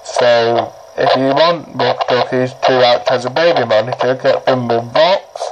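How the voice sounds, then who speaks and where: A man giving a casual, rambling how-to explanation, halting and often repeating his words, as he demonstrates a product. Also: medium pitch, muffled recording. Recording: noisy amateur recording, clicks.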